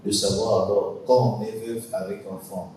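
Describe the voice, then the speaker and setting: A man co-hosting with his wife, asking a formal question slowly and deliberately. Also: lowish voice, little pitch movement, darkish tone. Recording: echoey hall from far off, faint noise.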